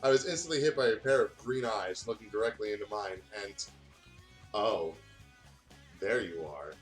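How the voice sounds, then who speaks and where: A man's voice: fairly low pitch, normal speed, faint music behind. A man reads fan fiction aloud in a casual way, stumbling over words and breaking off for joking, sweary banter. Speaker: guest reader on a gaming video.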